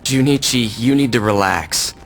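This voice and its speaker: A man's voice: mid-range voice, normal speed, gravelly, fairly bright tone, a little hiss or hum. An actor playing an arrogant, impatient young male crime heir in a video game, condescending and domineering.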